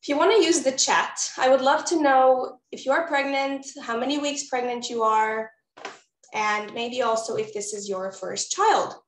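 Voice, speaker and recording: A woman's voice: sits high, moderate pace, roomy sound, slight background noise, rough recording. A woman from Israel, a postpartum doula, giving a webinar presentation in an encouraging way that speaks straight to the audience over a video call. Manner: warm, encouraging, addresses audience directly.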